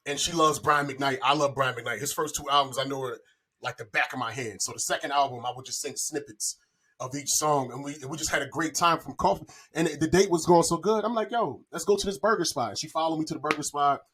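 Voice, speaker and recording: A man's voice: fairly high pitch, a little fast, sharp tone. A man in his forties chatting casually and telling personal stories, with profane, slangy talk and a habit of repeating himself. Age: 40s. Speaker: podcast guest. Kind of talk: casual chat, personal anecdotes.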